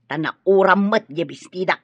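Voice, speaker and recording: A woman playing a nagging, callous housewife in a radio drama, with a sharp, complaining delivery. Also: fairly low pitch, normal speed, breathy edge, dry recording. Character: nagging, callous housewife who exploits a girl's chores.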